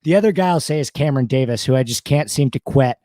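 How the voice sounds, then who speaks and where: A man with a slightly hoarse voice, eagerly talking stats and picks as a guest in a casual interview. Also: medium pitch, fast, mellow, no room sound, clean studio sound. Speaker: guest sports betting analyst.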